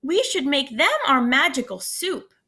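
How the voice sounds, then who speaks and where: A woman's voice: high voice, sing-song, measured pace, sharp tone, voice pushed up, heavily acted. A woman voicing a clever, cheerful male traveler who grandly proclaims his magic soup. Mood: earnest. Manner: grand, theatrical announcing.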